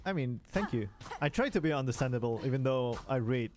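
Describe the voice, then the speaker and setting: A man's voice: medium pitch, lively intonation, brisk, a little mellow, slight background noise. A man from Spain, a native Spanish speaker, chatting casually with his viewers while playing a game and laughing easily. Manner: chatty, answers chat, laughs easily, fillers like "like". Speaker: streamer. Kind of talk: casual live game stream chat.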